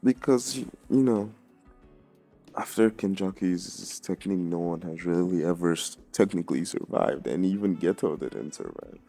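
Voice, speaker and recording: A man with a notably low voice delivers a loose, slangy commentary rant. His tone is very dark and muffled-warm, with plenty of gravelly fry. He swears freely and sounds exasperated as he argues his opinion. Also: lively intonation, normal speed, soft background music. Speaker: anime commentary video creator.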